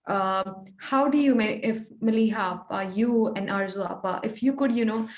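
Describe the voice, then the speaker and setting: A woman's voice: a little high, moderate pace, reverberant. A woman moderating a webinar over a video call, posing a question politely with many restarts and hesitations.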